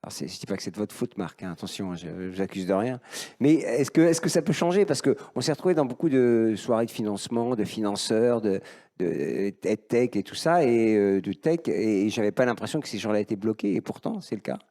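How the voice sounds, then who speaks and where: A man's voice: on the low side, animated, a little fast, warm tone. A man moderating a live round-table discussion, thinking aloud in an informal, interjecting way. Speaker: panel moderator.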